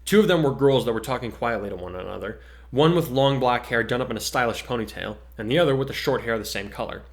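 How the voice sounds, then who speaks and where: A man's voice: medium pitch, fast talker. A man co-hosting and reading his own fan fiction, moving between casual chat and dramatic narration. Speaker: co-host and fan fiction author.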